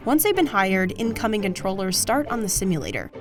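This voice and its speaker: A woman's voice: mid-range voice, big pitch swings, a little fast, faint music behind. An American woman reading scripted documentary narration as the narrator of a news feature.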